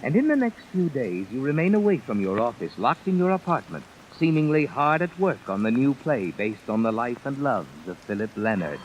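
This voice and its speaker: An actor playing a sinister, knowing narrator in an old radio mystery, taunting and insinuating in the second person. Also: male voice, medium pitch, big pitch swings, normal speed, hardly any pauses, a little hiss or hum, lightly acted. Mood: sly, knowing. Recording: muffled vintage radio broadcast over music.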